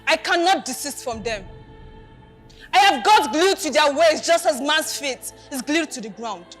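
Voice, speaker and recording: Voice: sits high, expressive, a little slow, a bit bright, faint music behind. A stage actor playing an argumentative, scolding character who speaks formal, rhetorical English with a Nigerian accent. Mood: declamatory. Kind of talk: declamatory stage play acting.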